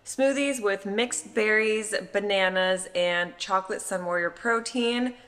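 A woman's voice: medium pitch, measured pace, fairly bright tone. American woman vlogging in a reverberant room. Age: 30s. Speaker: family vlogger. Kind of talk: casual explaining to camera. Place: home kitchen.

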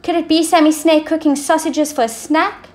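A woman's voice: sits high, steady tone, normal speed, darkish tone, pitched above the performer's own voice, natural voice. A woman voicing an eager, inquisitive storybook queen who is helpful and a little quarrelsome. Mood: suggesting.

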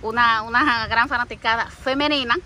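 A woman hosting a casual interview, lively, teasing, and speaking straight to the viewers. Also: fairly high pitch, moderate pace, slight background noise. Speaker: interviewer, host.

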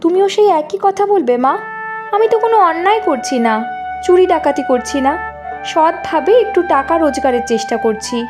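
A young college student in a cartoon story. Her voice is high and swings expressively. She sounds sincere, hardworking and politely explanatory, with music behind her. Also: expressive, fairly quick. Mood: earnest. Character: earnest, hardworking young college woman of modest means. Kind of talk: Bengali animated story dialogue.

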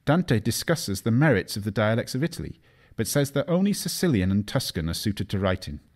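Male narrator for scripted language lesson. Deep register, animated melody, didactic and precise delivery. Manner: measured, didactic, carefully enunciates foreign example words. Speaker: narrator of an educational language history video.

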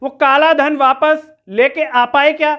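High-pitched man, questioning commentator. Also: steady tone, unhurried, sharp tone. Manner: rhetorical, questioning, accusatory. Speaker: news commentary host. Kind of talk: political commentary to camera. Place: small room indoors.

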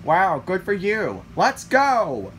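Someone playing out a toy skit at home, swingy pitch, bit of background noise. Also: wildly swooping pitch, slow pace, fairly bright tone. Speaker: puppeteer voicing toy skits. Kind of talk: improvised plush toy role-play. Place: home room at a table, indoors. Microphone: handheld phone. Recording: noisy handheld home recording.

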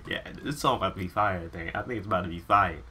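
A man in his twenties with an American accent hosting a reaction video in casual slang, excitable and repeating himself. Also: sits low, racing, a little hiss or hum. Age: 20s. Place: small bedroom, indoors. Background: hip hop music playing.

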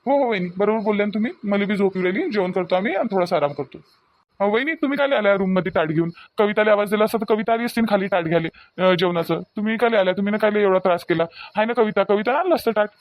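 A man's voice: high voice, fast, no room sound, lightly acted. A man voicing a young, reassuring newlywed village husband in a homemade cartoon, speaking colloquially with a Vidarbha Marathi accent. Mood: polite, easygoing. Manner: colloquial, repeats himself soothingly. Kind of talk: homemade cartoon family drama voice acting.

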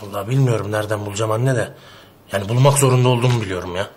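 A man's voice: lowish voice, very fast, lightly acted. A man in his thirties playing a proud, exasperated son in a TV comedy-drama, talking in a blustering, colloquial way. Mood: indignant, worried. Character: exasperated, proud working-class son explaining himself to family.

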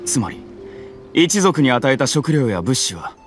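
A man's voice: mid-range voice, sing-song, a little slow. An actor playing a stern male government officer in a game, with a formal, commanding way of talking. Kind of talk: game cutscene voice acting. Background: soft game music.

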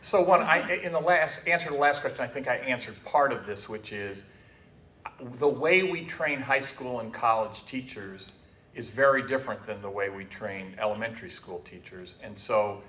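A man's voice: medium pitch, normal speed, a bit bright. Older American man, echoey and distant. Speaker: panelist. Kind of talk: panel discussion, persuasive argument. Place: meeting room, indoors. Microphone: tabletop stand microphone. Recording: echoey room, distant microphone.

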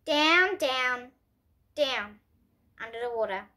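High-pitched, bright young girl reading a storybook. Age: child. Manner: expressive, deliberate reading with dramatic pauses. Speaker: young host reading children's books aloud. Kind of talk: storybook read-aloud.

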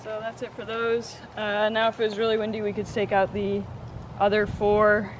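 Woman outdoors in loud wind noise. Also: medium pitch, completely flat delivery, moderate pace. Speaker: host demonstrating tent setup. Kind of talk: casual how-to demonstration. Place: grassy yard outdoors beside a house.

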